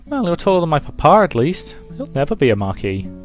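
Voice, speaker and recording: A man's voice: on the high side, wildly swooping pitch, fairly quick, soft background music, voice pushed up. A man voicing a bold, chatty little girl in a visual novel, eager and childlike. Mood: cheeky. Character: bold, chatty little girl who adores her papa.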